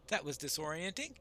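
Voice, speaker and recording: A man's voice: a little high, bright, forceful tone. A man giving casual commentary as he plays a game, musing mildly to himself. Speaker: gamer narrating a playthrough.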